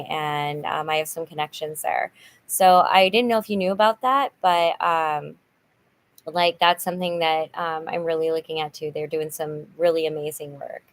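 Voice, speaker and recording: A middle-aged woman with a slightly low voice talks through a casual livestream. She is chatty and upbeat, and her talk is full of fillers and quick restarts. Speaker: channel host, nonprofit volunteer. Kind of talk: casual livestream chat reading viewer comments.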